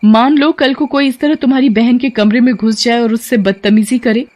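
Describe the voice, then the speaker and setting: A woman's voice: a little high, fairly even intonation, moderate pace, voice pushed up, natural voice. A woman playing a stern young female professor. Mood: lecturing. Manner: stern, lecturing, sharp warnings. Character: strict young female professor, firm and scolding.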